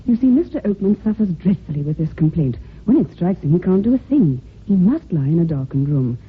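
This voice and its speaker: An actress playing a prim, defensive, devoted secretary who speaks formally and emphatically in an old-time radio drama. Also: female voice, lowish voice, sing-song, brisk, husky, heavily acted. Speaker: actor in a radio mystery. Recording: thin, phone-like old radio recording.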